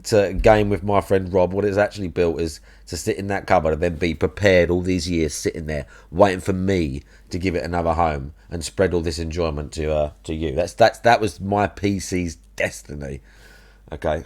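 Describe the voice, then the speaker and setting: Loud, mocking streamer man. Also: low voice, normal speed. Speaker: streamer watching another stream. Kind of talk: casual swearing live-stream chat.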